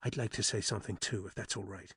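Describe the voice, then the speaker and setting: A man voicing a soft, hesitant widow. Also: on the low side, fairly even intonation, quick pace, husky, no echo, clean studio sound, pitched below the performer's own voice, heavily acted. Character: anxious woman, a widow about to remarry.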